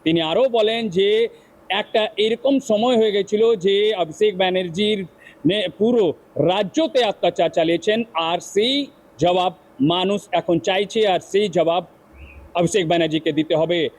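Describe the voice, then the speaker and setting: A man giving an unscripted news report as a reporter, halting and repetitive, often breaking off words. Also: high voice, rough recording. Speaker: field reporter.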